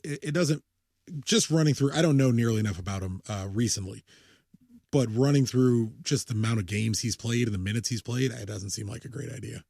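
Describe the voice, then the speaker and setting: A man in his thirties with a husky, slightly breathy voice, chatting loosely as co-host of a sports podcast. He rambles, restarts his sentences and swears casually. The recording is dry and close. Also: mid-range voice, brisk, a little mellow, no echo. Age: 30s.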